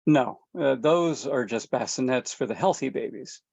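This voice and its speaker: Casting: a woman reading a joking middle-aged dad, very deep voice, dull dry recording. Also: animated, normal speed, creaky, darkish tone, slightly rough, no room sound, heavily acted. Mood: easygoing. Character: easygoing middle-aged father who jokes. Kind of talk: table read.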